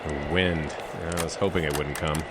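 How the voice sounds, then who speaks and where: An actor playing a stubborn, reassuring boyfriend in an audio drama, with an emphatic, expressive delivery. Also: male voice, a bass voice, moderate pace, heavy background noise. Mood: anxious reassurance. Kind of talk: audio drama acting with inner-monologue narration.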